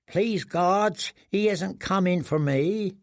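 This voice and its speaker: A man's voice: high voice, slow, vocal fry, no echo, studio quality, voice pushed up, full character voice. A man voicing a broken, starving prisoner who sounds aged, mumbling and pleading in a thin, weak voice for an audiobook. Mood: fearful.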